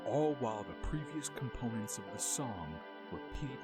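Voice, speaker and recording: A man narrating a scripted video essay as its host, with an expressive, dramatic storytelling delivery. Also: mid-range voice, normal speed. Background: music under the voice.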